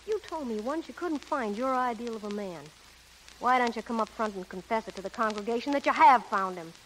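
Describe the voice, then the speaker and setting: A woman's voice: a little high, expressive, fairly quick, close to an everyday voice. An actress playing a brassy, blunt, loyal showgirl pal with slangy wisecracks, in 1930s radio comedy-drama style. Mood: soft, sympathetic. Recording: noisy, hissy 1930s radio broadcast.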